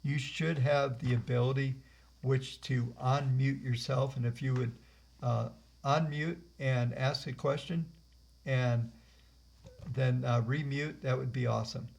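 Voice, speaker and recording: Gravelly man hosting a webinar. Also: medium pitch, steady tone, unhurried, darkish tone. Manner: rambling, lots of fillers and restarts. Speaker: host and trainer. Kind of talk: live webinar software walkthrough. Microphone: computer mic on a video call.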